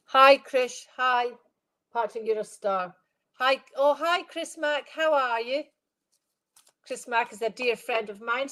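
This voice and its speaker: A middle-aged woman medium giving a live-stream spirit reading. She sounds stuffed up and speaks in a gentle, rambling way, repeating phrases and addressing viewers by name. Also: fairly high pitch, rough recording. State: congested, stuffed-up nose.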